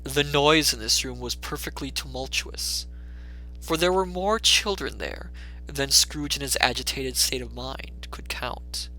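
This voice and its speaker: A man's voice: mid-range voice, expressive, unhurried, pushed and bright, soft background music, rough recording. A man reading a classic story aloud as a storyteller, in theatrical, scripted dramatic narration.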